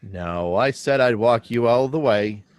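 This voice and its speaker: A man's voice: medium pitch, a little slow, smooth, no echo, lightly acted. A man playing a curious, persistent but courteous schoolboy in a live radio drama reading, earnest and questioning.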